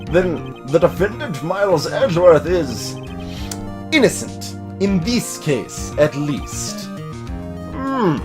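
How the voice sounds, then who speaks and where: A man's voice: a little high, very animated, music in the background. Man playing a solemn elderly male judge. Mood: solemn, deliberate. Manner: formal, deliberate.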